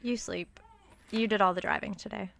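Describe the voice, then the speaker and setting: A woman's voice: mid-range voice, fairly even intonation, moderate pace, a bit bright. An actress playing an earnest young college woman in a naturalistic audio drama. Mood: hesitant, serious. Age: young adult. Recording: clear background vehicle noise.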